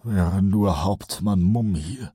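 A man voicing a weary, reluctant older watch sergeant in an audiobook, husky and grudging, with some bluster. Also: sits low, takes it slowly, dark tone, no room sound, clean studio sound, full character voice. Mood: gloomy, doubtful. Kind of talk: audiobook character voice.

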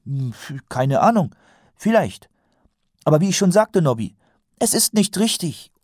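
A man's voice: fairly high pitch, very animated, a little slow, a little mellow, no echo, clean studio sound, pitched above the performer's own voice. A man voicing an earnest, naive and eager young watch recruit in an audiobook, with a matter-of-fact manner. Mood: sincere, explaining. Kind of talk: audiobook character voice.

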